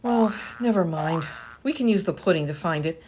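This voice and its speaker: A woman playing a brisk, sarcastic, impatient adventurer in a comedy radio play. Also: lowish voice, animated, noisy background, natural voice. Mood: brisk, exasperated. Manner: impatient, pointed questioning.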